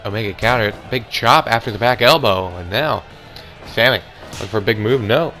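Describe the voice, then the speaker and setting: A man doing hoarse, excitable play-by-play commentary on a wrestling match, calling moves and near-falls. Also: lowish voice, brisk, faint music behind. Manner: excitable, calls moves and counts.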